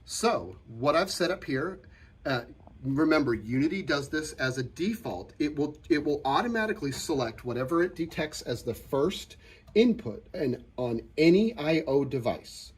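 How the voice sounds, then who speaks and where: A man's voice: a little high, very animated, normal speed, slightly rough. A man presenting an informal hands-on tech tutorial, explaining conversationally and often rephrasing his points. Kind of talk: informal hands-on software and hardware demo.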